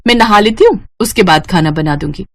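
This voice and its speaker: A woman's voice: mid-range voice, animated, brisk. Woman playing an obliging young daughter-in-law.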